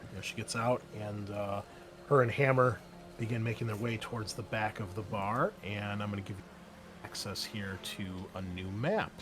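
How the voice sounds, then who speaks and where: A man with a fairly low voice acts as game master for a tabletop roleplaying game. His phrasing is informal and halting, feeling its way along. Some steady noise sits behind him.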